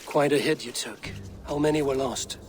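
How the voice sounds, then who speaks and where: A man voicing a stoic Viking raider in a video game, speaking in a measured, solemn, terse way. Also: mid-range voice, music in the background, natural voice. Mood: steady, direct. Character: stoic male Viking raider and clan warrior. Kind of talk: video game dramatic acting.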